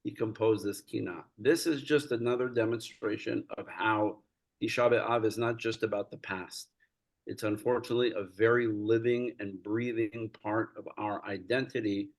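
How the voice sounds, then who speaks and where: Earnest gravelly-voiced man lecturing. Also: fairly low pitch, normal speed. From United States. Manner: earnest, storytelling, gets animated and laughs while recounting. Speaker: rabbi, guest speaker. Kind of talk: lecture-style talk.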